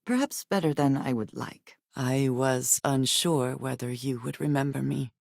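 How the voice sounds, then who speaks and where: An actress playing a guarded, earnest female spacefaring companion in a video game, speaking in formal, measured wording. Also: rumbling low, vocal fry, a little mellow, no echo, studio quality, heavily acted. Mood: composed, observant. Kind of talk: video game character dialogue.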